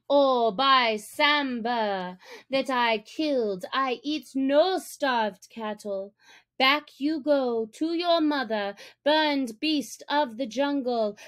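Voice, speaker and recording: A protective she-wolf from a storybook, acted out by a woman reader. The voice sits high and moves very slowly, stretching words with expressive, motherly emphasis. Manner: emphatic, drawn-out, expressive delivery. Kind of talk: storybook narration character voice.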